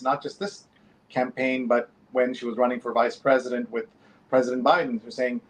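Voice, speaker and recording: A man's voice: mid-range voice, rough recording. A man hosting a live show in a conversational, effusive and promotional way. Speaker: talk show host.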